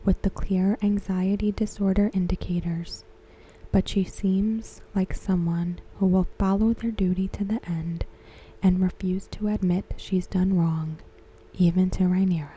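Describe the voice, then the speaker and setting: A woman giving ASMR commentary in a hushed, gentle, breathy, whispery voice. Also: lowish voice, fairly even intonation, poor audio. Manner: hushed, gentle, unhurried delivery. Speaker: ASMR content creator reviewing a TV episode. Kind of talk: ASMR soft-spoken commentary.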